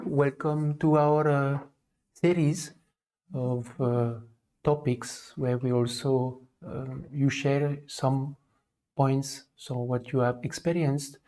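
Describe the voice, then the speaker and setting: A man from France, around forty, with a French accent hosts an online talk. His delivery is even and monotone, with a warm, dark tone. He pauses often and leans on fillers as he hunts for his English words. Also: medium pitch. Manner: hesitant, with many fillers. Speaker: livestream host. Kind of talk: livestream talk.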